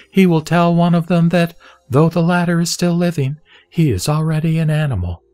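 A man reading scripture aloud in a solemn, measured recitation. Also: medium pitch, a little mellow, no room sound. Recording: dry close mic with faint background music.

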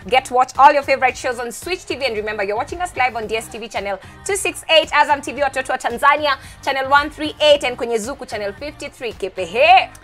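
Bubbly fast-talking Kenyan woman presenter. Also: sits high, lively intonation, a bit bright, faint music behind. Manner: bubbly, mixes slang into rapid talk. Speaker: show presenter. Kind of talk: casual studio chat. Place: bright TV studio set.

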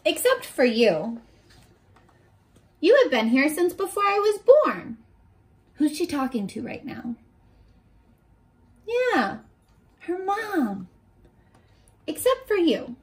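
Woman in her twenties, high voice, swooping intonation, children's lesson style, encouraging, question-and-pause delivery. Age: 20s. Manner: animated, encouraging, asks questions and pauses for answers. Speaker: teacher reading aloud to young children. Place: small furnished bedroom, indoors.

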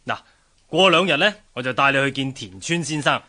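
Bright, jovial older merchant. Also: male voice, medium pitch, animated, fairly quick. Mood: genial, advising. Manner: chuckling, genial, avuncular. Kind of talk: Cantonese radio drama acting.

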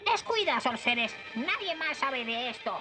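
A woman with a Latin American accent voicing a little cheerful, bumbling magician creature in a cartoon, squeaky. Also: big pitch swings, a little slow, over loud music. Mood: reassuring.

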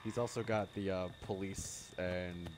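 A man's voice: low-pitched, steady tone, measured pace. A man chatting casually during online play in a dry, mock-polite joking way, with music behind. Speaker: gamer in online group play. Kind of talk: casual gameplay banter.

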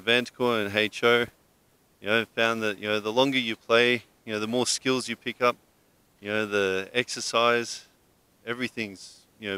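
A young man answering interview questions outdoors into a handheld mic, halting and saying "you know" often. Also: on the low side, bright, forceful tone, smooth, dry recording. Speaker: interviewee, veteran larp player. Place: outdoors at a convention.

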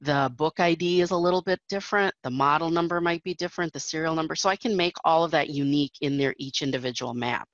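A woman's voice: sits low, fast, hardly any pauses, no room sound, highs cut off. A woman presenting a technical webinar over slides, explaining steadily with frequent fillers.

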